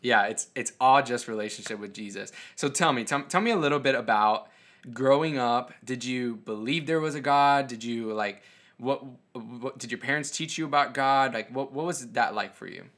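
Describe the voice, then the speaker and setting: A man's voice: mid-range voice, normal speed, a bit bright. An American man hosting a podcast, chatty and upbeat, introducing his show and interviewing a guest. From United States. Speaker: podcast host.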